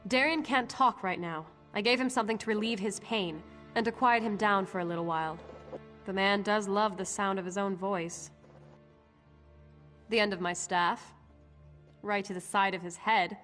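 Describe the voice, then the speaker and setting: A woman playing a brisk, no-nonsense battlefield healer in a fantasy video game, speaking in a dry, matter-of-fact way. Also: mid-range voice, fairly bright tone, soft background music, natural voice. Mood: wry. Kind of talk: scripted video game dialogue.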